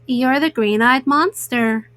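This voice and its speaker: A woman's voice: high voice, slow, a bit bright, clean tone, no room sound, studio quality. A woman voicing a young girl bear cub in a storybook, earnest, plaintive and easily upset. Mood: wary.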